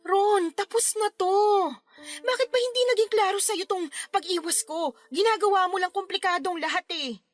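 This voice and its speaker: A woman's voice: very high voice, moderate pace. A woman acting a hurt but firm young woman in an emotional, reproachful Filipino radio drama performance. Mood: upset, firm. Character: hurt, firm young woman rejecting her former lover. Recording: music bed under the voice.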